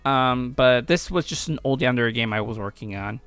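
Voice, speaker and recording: A man's voice: mid-range voice, normal speed, a bit bright, clear, resonant tone, no room sound. A man giving casual, slangy commentary as a game developer playing through his own game. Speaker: video creator and game developer.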